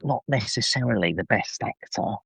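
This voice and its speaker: A man's voice: medium pitch, lively intonation, normal speed, vocal fry, fairly bright tone, husky, no room sound. A man chatting enthusiastically and effusively as a podcast guest. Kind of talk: casual enthusiastic review chat. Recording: slightly dull, lo-fi microphone sound.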